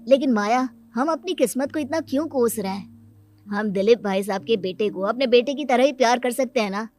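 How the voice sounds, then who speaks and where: A woman voicing a good-natured young married man, an uncle, in a cartoon moral story, earnest and gentle. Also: fairly high pitch, moderate pace, soft background music, natural voice. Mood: hopeful.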